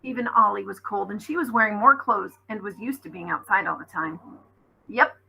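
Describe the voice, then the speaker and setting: Woman teacher reading a kids book aloud, expressive, bright voice w creaky edge. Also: mid-range voice, a little fast, slight background noise, rough recording. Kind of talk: expressive children's audiobook narration.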